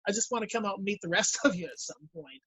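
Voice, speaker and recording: A man from the US East Coast with a husky voice, chatting casually on a group video call. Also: sits high, fast talker, pushed and bright, no echo, poor audio. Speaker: audio drama cast member. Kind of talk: casual chat on a group live stream.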